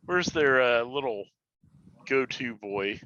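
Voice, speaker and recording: Bright-voiced male gamer, dull lo-fi recording. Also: medium pitch, lively intonation, slow, dry recording. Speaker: tabletop game player. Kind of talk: casual chat. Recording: dull, lo-fi voice pickup.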